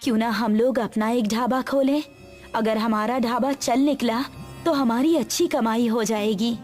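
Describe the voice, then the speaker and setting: Woodcutter's wife for a moral tale, acted by a woman: high, earnest, careworn. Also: fairly even intonation, measured pace, a little mellow, faint music behind, close to an everyday voice. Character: poor woodcutter's worried, loving village wife.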